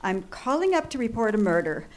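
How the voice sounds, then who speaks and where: An actress playing an anxious, imperious bedridden married woman in a radio suspense play, flustered, halting and indignant. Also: on the high side, sing-song, a little mellow. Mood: agitated, rattled. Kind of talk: old-time radio suspense play acting. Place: live theatre stage.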